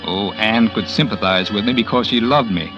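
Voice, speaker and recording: A man's voice: fairly low pitch, normal speed, close to an everyday voice, rough recording. A man playing a bitter, self-absorbed novelist who narrates and talks in an old radio drama, with a brooding tone. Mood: cynical. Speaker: struggling novelist narrating his story. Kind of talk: 1940s radio drama acting. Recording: old radio broadcast with music bed.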